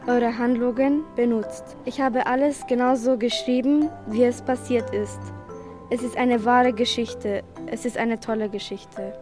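A woman's voice: on the high side, close to an everyday voice. A woman playing a smug, gloating famous author who declaims in repeated phrases in a radio play. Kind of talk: school radio play acting. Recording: music bed behind the voice.

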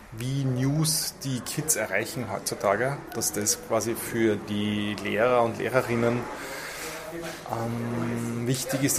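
With clear ambient noise behind him, a man talks at a medium pitch. He sums up a discussion off the cuff, in a conversational interview style. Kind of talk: spontaneous interview summary of a workshop. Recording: noticeable ambient background noise.

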